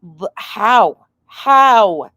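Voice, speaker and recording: A woman's voice: on the high side, animated, extremely slow, bright, forceful tone, no room sound, clean studio sound. A blunt, sweary, incredulous woman giving casual reaction commentary as a livestream host.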